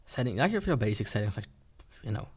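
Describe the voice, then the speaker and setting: A man's voice: on the low side, big pitch swings, fast, darkish tone, slightly rough. Casual, rambling man reviewing a gadget. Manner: rambling, informal, skeptical. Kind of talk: casual unscripted product review.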